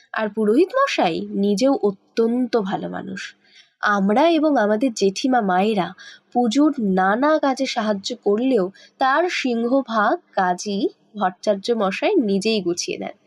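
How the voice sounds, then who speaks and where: A woman reading scripted first-person narration for a dramatized audio horror story. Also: fairly high pitch. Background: faint background music.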